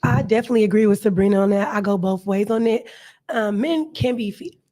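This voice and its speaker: A woman's voice: mid-range voice, fairly quick, dark tone. A woman of thirty, a panel guest on a podcast, speaking confidently and emphatically. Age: 30s. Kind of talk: casual chat.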